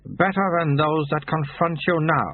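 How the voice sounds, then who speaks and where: A man's voice: a little high, steady tone, measured pace, pitched above the performer's own voice, lightly acted. A man doing a smug, sly secret-society monk. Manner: smug, patient and slyly teasing. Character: mysterious dry-witted male recruiter monk. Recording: tinny phone-like sound.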